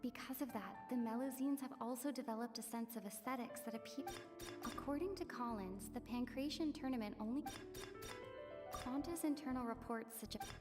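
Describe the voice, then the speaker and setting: A woman's voice: on the high side, normal speed, in a large hall, recorded from a distance, over music, close to an everyday voice. A woman playing an earnest young investigator in a video game, reciting clues matter-of-factly.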